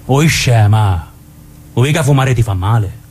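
A man's voice: mid-range voice, lively intonation, a little slow, a little mellow, a little hiss or hum, close to an everyday voice. A man playing a protective, domineering older brother in a radio drama, with a southern Italian accent and colloquial, patronizing speech. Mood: teasing, affectionate. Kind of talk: naturalistic radio drama dialogue.

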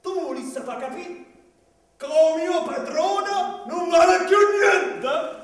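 A man's voice: very high-pitched, takes it slowly, exaggerated voice. A man playing a pushy, indignant comic servant in stage commedia, with an emphatic southern Italian dialect delivery. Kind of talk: commedia dell'arte stage comedy. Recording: very echoey hall, distant mic, noisy.

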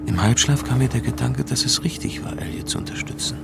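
An actor playing a brooding, world-weary investigator, narrating his story in the first person with a creaky voice in a radio drama. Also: medium pitch, fast, in a whisper. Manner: brooding, literary. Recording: music playing behind the voice.

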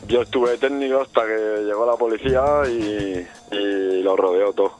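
Casting: hombre andaluz, voz algo grave, relato pausado y coloquial, música suave. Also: male voice, lowish voice, soft background music. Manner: relaxed, drawn-out slangy telling. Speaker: band member recounting events.

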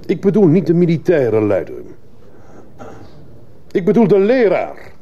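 A man's voice: a little high, huge pitch swings, measured pace, heavily acted. An actor playing a zealous fascist father accusing others over his son's death, declamatory and indignant in a radio drama. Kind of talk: radio drama acting, courtroom oratory. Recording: muffled old radio play, faint noise.

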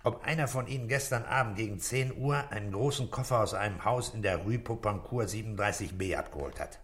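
Guy playing calm middle-aged police boss in a radio drama, low voice, short commanding lines. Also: fairly quick, lightly acted. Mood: businesslike. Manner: terse, matter-of-fact, commanding. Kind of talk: German radio play acting.

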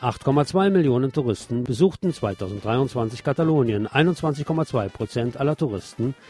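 A man's voice: mid-range voice, animated, fairly quick, darkish tone, faint music behind. A man reading scripted radio news with some pointed commentary.

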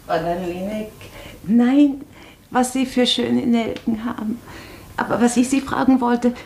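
A woman's voice: on the high side, measured pace, darkish tone, slight background noise. An actress playing a chatty, fussy female tourist in a German radio play, busy and solicitous in manner.

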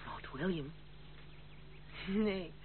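A woman's voice: fairly low pitch, drawn-out words. An actress playing a proud, independent young noblewoman in a radio play, speaking formally, firmly and with dignity. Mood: resigned, grave. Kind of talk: old radio play acting. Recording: tinny, band-limited, hissy old radio recording.

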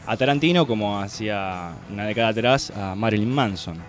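A man's voice: fairly low pitch, brisk, soft background music. A man with a Buenos Aires accent giving a colloquial, rambling opinion column on film for a radio show. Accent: Argentine, Buenos Aires. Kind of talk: casual opinion commentary. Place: radio studio.

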